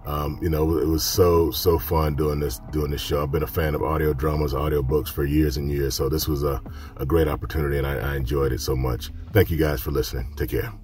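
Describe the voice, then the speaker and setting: A man's voice: extremely low pitch, flat delivery, fairly quick, a little mellow. A man who works as a narrator, giving a casual recorded message to listeners. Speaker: audio drama narrator. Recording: distant from the microphone.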